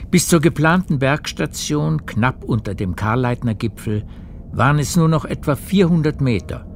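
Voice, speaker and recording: An actor narrating a literary radio play as a calm storyteller, in a measured, precise way with a gravelly voice. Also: male voice, on the high side, a little hiss or hum.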